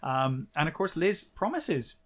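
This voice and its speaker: A man's voice: on the high side, big pitch swings, unhurried, slight background noise. A man co-hosting a podcast, casually recapping a book with dry, sarcastic commentary. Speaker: podcast co-host.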